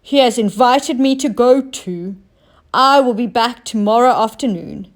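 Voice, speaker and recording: Woman as a kindly, unhurried storybook dock boss. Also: on the high side. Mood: friendly. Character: kindly male dock boss, trusting and encouraging. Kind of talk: children's storybook character voice.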